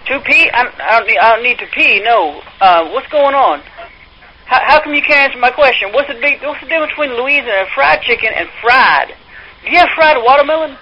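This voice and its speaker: A pushy prank caller with a high voice, badgering the person on the line. He sounds mock-indignant and keeps demanding answers. The call comes through a muffled, noisy phone line. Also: animated, fairly quick.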